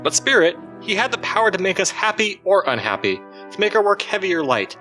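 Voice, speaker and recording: A woman playing a grumpy, bewildered old man in an audio drama, with gruff, blustering delivery. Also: medium pitch, sing-song, brisk, fairly bright tone, faint music behind. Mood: regretful.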